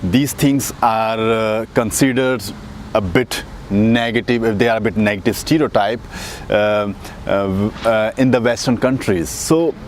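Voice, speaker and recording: A man's voice: fairly low pitch, steady tone, unhurried. A man with an Indian accent talks in a rambling casual vlog with many fillers and restarts, sitting outdoors on a windy day. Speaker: dating coach vlogger. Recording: faint street and traffic noise outdoors.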